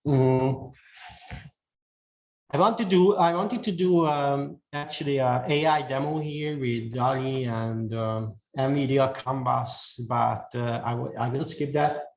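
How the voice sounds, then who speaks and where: A man whose first language is Hungarian gives a talk to a live audience, speaking slowly with many fillers and restarts. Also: mid-range voice. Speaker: speaker giving a talk on AI tools. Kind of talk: presentation to an audience. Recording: echoey room, faint noise.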